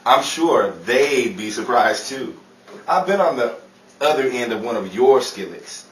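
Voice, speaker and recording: Animated man acting a 1930s radio emcee. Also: mid-range voice, a little slow, close to an everyday voice. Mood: teasing. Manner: playful, theatrical. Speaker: radio show host. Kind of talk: 1930s-style radio show announcing and comedy. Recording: roomy, distant-mic recording with clear noise.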